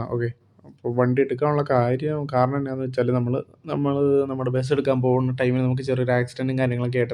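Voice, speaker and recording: A man's voice: medium pitch, darkish tone, a little hiss or hum. A man from Kerala narrating his day vlog-style in a rambling, casual way, full of fillers. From Kerala, India. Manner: rambling, heavy on fillers like "okay" and "and things". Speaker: vlogger narrating a bus-business roleplay.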